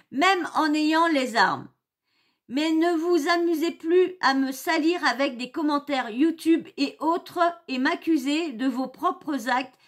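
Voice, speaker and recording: A woman's voice: sits high, a bit bright. A woman hosting her own channel, chatting casually and hesitantly, with many fillers and repeated words as she reads posts aloud. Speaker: YouTube channel host. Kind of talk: casual commentary reading social posts aloud.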